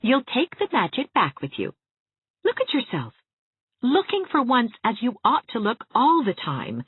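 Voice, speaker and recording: A woman voicing a cheerful, eager young woman who talks in a lively, coaxing way. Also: on the high side, a little slow, breathy edge, no echo, pitched above the performer's own voice, exaggerated voice. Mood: enthusiastic. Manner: bright, lively, persuasive. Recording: thin, phone-like narrow sound.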